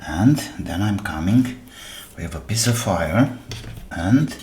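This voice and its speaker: A man casually narrating a workbench repair, half muttering to himself in short phrases with pauses between them. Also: sits low, lively intonation, background noise. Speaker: electronics repair host.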